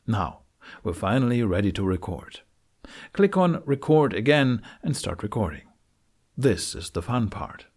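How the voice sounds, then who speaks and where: A man's voice: fairly low pitch, a little mellow. A man narrating a scripted tutorial in an informal, reassuring way with wry asides, as host. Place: carpeted living room with curtains.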